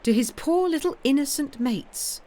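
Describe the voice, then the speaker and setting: A woman's voice: high-pitched, expressive, moderate pace, dark tone, voice pushed up. A woman voicing an anxious, educated young governess in a storybook reading, formal and precise. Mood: sarcastic, conceding. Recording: clearly noisy background.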